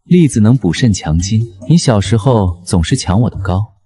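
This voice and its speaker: Mellow, low, aloof chancellor played by a man. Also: lively intonation, normal speed, close to an everyday voice. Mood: courteous, wry. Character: aloof, teasing male chancellor, secretly a rabbit spirit.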